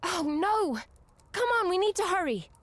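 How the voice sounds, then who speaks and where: High-pitched adventurer girl. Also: lively intonation, moderate pace, a bit bright, no room sound. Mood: urgent. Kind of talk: video game cutscene acting.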